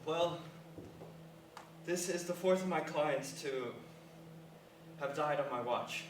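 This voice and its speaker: A man acts out a reluctant, awkwardly sensible caregiver in a comic drama reading. His voice sits a little high and he stammers apologetically. The recording is echoey and distant, with faint noise. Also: takes it slowly. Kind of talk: staged reading of a dramatic comedy. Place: room at a staged reading.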